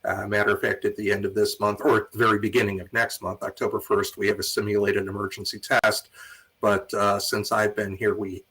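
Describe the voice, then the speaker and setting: Male host, American accent, raspy yet sharp tone, conversational moderating of audience questions. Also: fairly low pitch, little pitch movement, a little fast, poor audio. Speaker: host and moderator of an online talk. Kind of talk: informal moderated question-and-answer.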